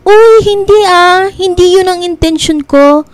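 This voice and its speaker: A woman playing a sweet, playful young woman with a Filipino accent in a radio drama, girlish and quick to protest. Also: very high voice, no room sound. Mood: apologetic, defensive. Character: sweet, bantering, inexperienced young woman in love. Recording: dry studio with music bed.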